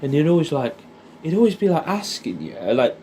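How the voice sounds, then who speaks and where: A man's voice: a little high, sing-song, a little fast, warm tone, a little hiss or hum. A young man with an English accent speaking in a casual, intimate, rambling and hesitant monologue, as if catching up with an old friend. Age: young adult. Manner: rambling, hesitant, informal with swearing.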